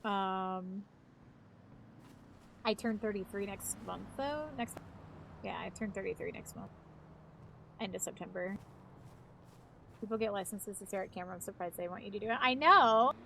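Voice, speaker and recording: A woman's voice: mid-range voice, moderate pace, creaky, pauses often, bright, forceful tone, slight background noise, rough recording. A woman in her thirties, a live streamer chatting casually, rambling and swearing freely. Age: 30s. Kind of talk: casual chat.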